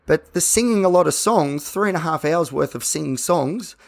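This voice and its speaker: Croaky, gravelly Australian man podcasting. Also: fairly high pitch, lively intonation, moderate pace. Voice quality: slightly croaky. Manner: conversational, rambling, self-correcting. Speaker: podcast host. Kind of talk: casual, candid solo podcast monologue.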